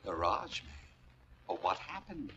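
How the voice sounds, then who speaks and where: An actor playing a terse, anxious boss, curt and commanding, heard small over a telephone in a 1940s radio mystery. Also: male voice, low voice, sing-song, normal speed, gravelly, pushed and bright, husky, full character voice. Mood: tense, curt. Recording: muffled, faintly noisy, clicking radio recording.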